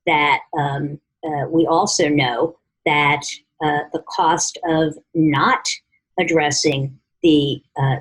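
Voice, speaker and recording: A woman answering questions as an advocacy speaker at a press conference, speaking formally and with many halting hesitations. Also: low-pitched, husky, low-quality recording. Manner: halting, heavily hesitant, formal policy phrasing.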